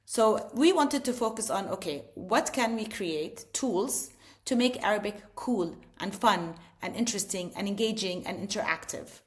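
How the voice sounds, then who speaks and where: A woman's voice: mid-range voice, lively intonation, moderate pace. Earnest middle-aged woman explaining. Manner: earnest, explanatory, frequent restarts and "you know". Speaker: edtech founder, interview guest. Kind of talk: casual interview conversation.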